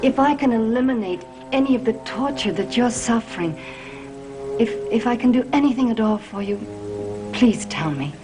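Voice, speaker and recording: An actress playing an earnest, devoted middle-aged mother and servant with a halting, melodramatic manner in a gothic soap opera. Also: a little high, close to an everyday voice. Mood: tender, pleading. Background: music.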